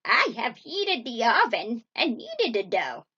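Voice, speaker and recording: A woman's voice: a little high, huge pitch swings, slow pace, pushed and bright, slightly rough, highs cut off, heavily acted. A woman voicing a mean old storybook witch, cruel and impatient, barking commands or coaxing with false sweetness. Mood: scheming.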